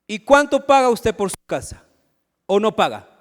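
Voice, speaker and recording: Man, pastor, high voice, long church reverb, rhetorical questions. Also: very animated, moderate pace. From Ecuador. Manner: preaching with rhetorical questions and acted asides. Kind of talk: sermon. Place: church sanctuary.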